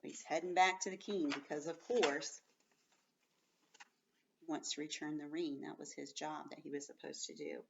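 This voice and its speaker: A woman's voice: sits low, steady tone, normal speed, creaky, long pauses, a little hiss or hum. A woman schoolteacher giving a read-aloud lesson to children, explaining things in simple words and commenting as she goes. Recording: dull sound, distant from the mic.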